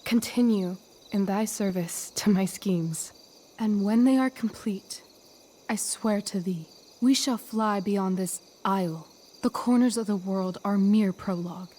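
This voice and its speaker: Woman acting a teenage girl playing a commanding stage sorceress, unhurried. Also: medium pitch, dark tone, natural voice. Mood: earnest, pleading. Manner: imperious, deliberate archaic delivery. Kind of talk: theatrical Shakespearean stage recitation in a game cutscene.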